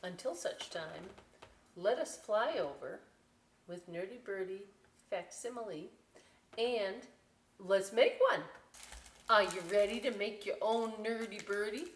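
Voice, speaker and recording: A woman reading a picture book aloud as a story time host, expressive, with chatty questioning asides to young listeners. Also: mid-range voice, a little slow, pauses often, distant mic, slight background noise. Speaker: library story time reader. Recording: echoey room, away from the mic.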